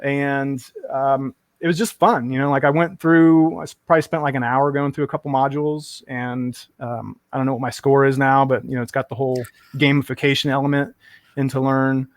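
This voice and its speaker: A man's voice: medium pitch, level tone, brisk, no room sound. A man chatting enthusiastically and rambling a bit on a tech stream, full of fillers. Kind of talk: casual tech chat.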